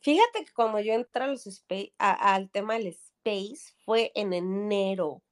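A woman's voice: medium pitch, animated, a little slow. A woman in her thirties from Mexico City with a Mexico City Spanish accent, a podcast guest chatting casually with many fillers and tag questions. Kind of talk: casual chat.

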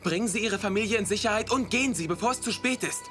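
An actor playing an earnest young investigator, pleading and insistent, in a German-dubbed supernatural drama. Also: male voice, high voice, fast talker. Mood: pleading, grave. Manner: insistent, persuasive. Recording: loud music and effects behind voice.

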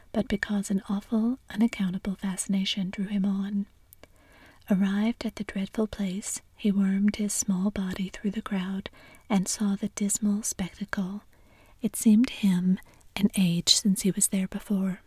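A woman narrating a classic story entirely in a soft whisper. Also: mid-range voice, slightly rough, no echo. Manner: soft unvoiced whisper throughout.

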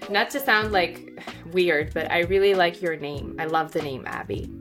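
A woman's voice: on the low side, moderate pace, over music. Chatty woman host. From Moldova. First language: Russian. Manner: chatty, searching for words, many fillers. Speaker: podcast host. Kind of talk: casual interview chat.

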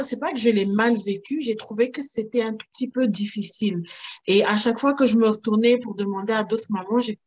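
A woman from Cameroon, a guest founder of a maternal wellness business, speaking casually in an interview and pausing often to restart her thoughts. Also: mid-range voice. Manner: thoughtful, with frequent hesitations and restarts.

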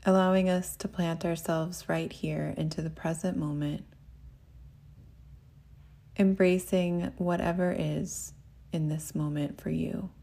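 A woman with a low voice leads a guided meditation as the teacher. She speaks gently and without hurry, giving soothing instructions. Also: fairly even intonation, slow pace, creaky.

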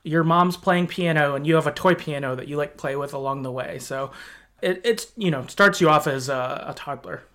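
A man's voice: a little high, normal speed, a bit bright. A man hosting a podcast, recapping a story in a casual, rambling way. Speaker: podcast host.

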